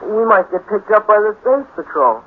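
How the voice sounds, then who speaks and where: An actor playing a naive, nervous, admiring teenage sidekick with a hesitant gee-whiz manner in a 1950s radio drama. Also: male voice, high-pitched, big pitch swings, moderate pace. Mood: worried. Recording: tinny, narrow-band 1950s radio recording.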